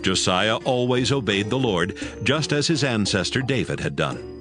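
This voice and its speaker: A man with a fairly low voice narrates scripture in a measured storytelling style. His intonation is lively, and music plays clearly behind him. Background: prominent music.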